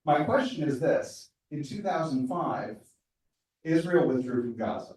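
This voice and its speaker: A man's voice: fairly high pitch, animated, a little slow, darkish tone. A man in the audience making a statement and then asking a question, stumbling and repeating words as he goes. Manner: hesitant, repeats words as he builds his point. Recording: very echoey hall, far from the mic.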